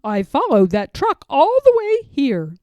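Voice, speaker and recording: A woman voicing an eager grown man who calls out loudly in a storybook. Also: high voice, wildly swooping pitch, measured pace, no echo, exaggerated voice. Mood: relieved. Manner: loud, calling out. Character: eager relieved grown man in a yellow hat.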